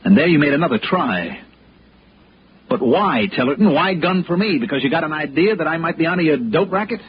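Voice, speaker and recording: A man's voice: on the high side, animated, fairly quick, slight background noise, lightly acted. A man acting as a tough, sardonic American investigator in a 1960s radio noir, clipped and wisecracking. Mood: accusing. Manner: clipped, wisecracking, hard-boiled. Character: tough, sardonic male freelance insurance investigator. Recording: dull 1960s radio recording with music.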